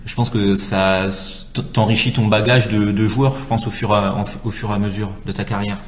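A man with a fairly low voice comments as part of a question session. His delivery is casual and informal, feeling for his phrasing as he goes. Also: little pitch movement, brisk, slight background noise.

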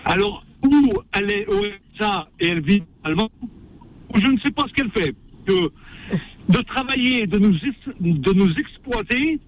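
A man's voice: high voice, sing-song, moderate pace. A man from France phoning a radio debate, giving his opinion emphatically and repeating his phrases. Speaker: radio phone-in caller. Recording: dull, noisy phone line.

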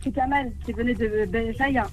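A woman's voice: a little high, moderate pace, darkish tone. A woman calling in to a radio show, polite and concerned. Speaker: radio caller. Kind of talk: call-in radio chat.

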